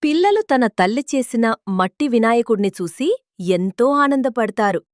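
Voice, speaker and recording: A woman's voice: on the high side, lively intonation, moderate pace, no echo, studio quality. A woman narrating a scripted moral story. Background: background music.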